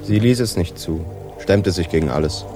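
Casting: resigned, gloomy sailor, low male voice, pirate radio play, music underneath. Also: normal speed, warm tone. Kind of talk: radio drama acting.

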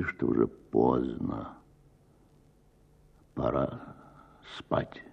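Man, low voice, expressive audiobook narration, theatrical phrasing, wide swings in intonation, husky, slightly dull recording. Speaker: actor reading a short story.

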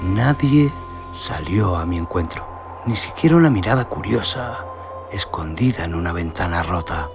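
A man narrates a dark western tale in a very low, gravelly voice. He takes his time, brooding and theatrical, weighing each phrase. Clear music plays behind him. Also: lively intonation. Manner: brooding, deliberate, theatrical, with hushed dips. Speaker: audio story narrator. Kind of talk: dramatic scripted narration of a western horror tale. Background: cinematic sound design and music.

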